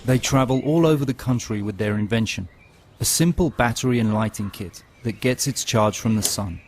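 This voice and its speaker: A man reading scripted documentary narration in a steady, even storytelling manner. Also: medium pitch, moderate pace, slight background noise.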